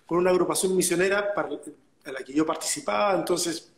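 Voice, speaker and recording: High-voiced Chilean man, echoey call. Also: fast talker. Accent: Chilean Spanish. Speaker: guest. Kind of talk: casual interview chat.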